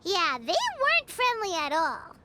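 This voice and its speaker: A woman voicing a tiny, excitable, childlike fairy companion in an anime-style video game, cutesy and blunt. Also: very high-pitched, measured pace, noisy background, full character voice. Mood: surprised.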